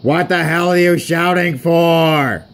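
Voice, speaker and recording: A man voicing an anxious, shouty young male tank engine in a comic storybook parody, complaining loudly. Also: on the high side, slow, pitched above the performer's own voice. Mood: indignant.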